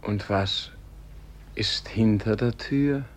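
Gentle old man in a radio play, deep-ish voice, really slow, solemn, lyrical, trails off hushed. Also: slight background noise. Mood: calm, questioning. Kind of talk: German radio play acting, solemn and poetic.

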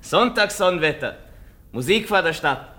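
Carefree soldier pal, offhand, kinda sing-song, bright high voice, radio play. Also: male voice, expressive, normal speed. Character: carefree, down-to-earth young soldier, singing and chatting. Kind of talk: German radio play acting.

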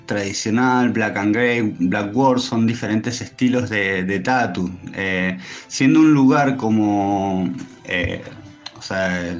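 A man with an Argentine Spanish accent answering interview questions in a thoughtful, hesitant way with many pauses. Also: fairly low pitch, lo-fi sound. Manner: hesitant, thoughtful, pausing often. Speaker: interview guest, tattoo artist.

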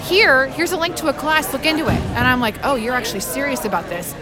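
A woman's voice: high-pitched, fast, over music. Chatty woman in a noisy crowd. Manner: animated storytelling, acting out quoted dialogue. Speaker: interview guest. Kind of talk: casual anecdotal chat. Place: crowded public space at a fan convention. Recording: busy convention crowd behind.

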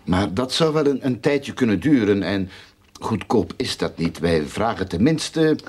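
A greedy private detective in a radio play. He is low-voiced and very lively in pitch, glib and ingratiating with a bossy streak. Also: measured pace, slightly rough, exaggerated voice. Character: smooth-talking, money-hungry private detective boss. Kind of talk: radio drama acting.

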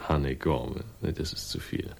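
Deep, gravelly, wry private investigator. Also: male voice, full character voice. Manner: deadpan, ironic, unhurried. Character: wry, laconic, hard-boiled male private investigator. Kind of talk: German radio crime drama, first-person noir narration and dialogue.

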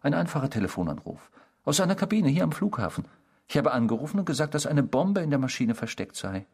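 An actor in a German radio drama playing a mysterious, smug, needling man who claims to be a murderer, with a husky voice. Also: fairly high pitch, big pitch swings, fast, darkish tone, full character voice. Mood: boastful. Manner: needling, sardonic, self-assured.

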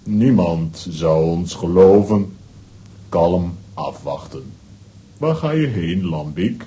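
A calm, cautious companion with a very deep and extremely dark voice. He speaks very slowly and in a measured way, in children's audio drama style. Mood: calm, resigned. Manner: slow, measured.

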